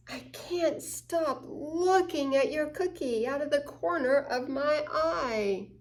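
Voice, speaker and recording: Female story time host, unhurried and expressive, slightly high voice, simple teaching tone for young kids. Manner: slow, deliberate, acting out quoted lines. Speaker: story time host reading a picture book. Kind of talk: expressive children's read-aloud with asides to listeners.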